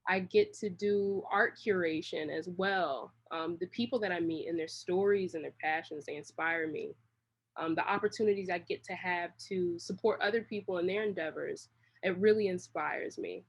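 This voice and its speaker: A woman's voice: on the low side, normal speed, husky. A woman talking casually as an interview guest, in a rambling, slangy, long-winded way. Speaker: interview guest, creative director.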